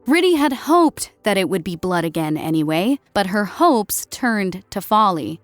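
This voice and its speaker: A woman's voice: medium pitch, sing-song, measured pace, no room sound, faint music behind. A woman reading scripted story narration dramatically as an audiobook narrator.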